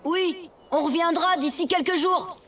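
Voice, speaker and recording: A woman voicing an earnest, brave young wolf cub in an animated cartoon, with a youthful manner. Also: very high-pitched, measured pace. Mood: resolute. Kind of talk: animated cartoon dub acting. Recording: loud music under the voice.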